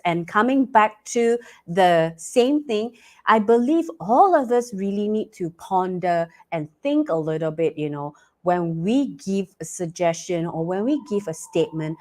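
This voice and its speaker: A woman's voice: lowish voice, a little mellow. A woman from Malaysia, a native Cantonese speaker, speaking English with a Malaysian accent as the earnest, encouraging host of a live online talk show, heard over a video call.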